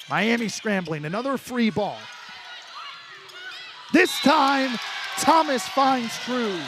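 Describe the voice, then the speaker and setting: A man's voice: high-pitched, slight background noise. A man doing live sports play-by-play as a commentator, over the sound of the venue.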